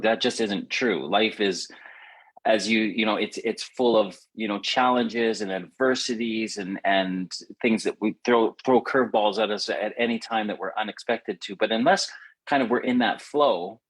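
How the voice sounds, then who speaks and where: A man's voice: lowish voice, fairly even intonation, normal speed, fairly bright tone, slightly rough, low-quality recording. A middle-aged man hosting a casual, reflective conversation, rambling and circling back to rephrase as he goes. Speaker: podcast host.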